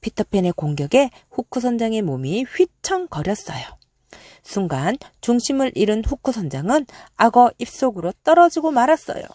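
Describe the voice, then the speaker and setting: Casting: female children's storyteller, expressive and animated, with a dry recording. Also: a little high, normal speed, no room sound.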